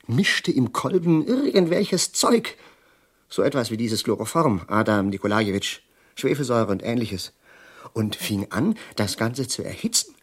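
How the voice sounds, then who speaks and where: An actor playing a bitter, sardonic middle-aged professor prophesying doom in a German radio drama, with a husky, declamatory delivery. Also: male voice, medium pitch. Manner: declamatory, sardonic, lingering on words with sudden hushes.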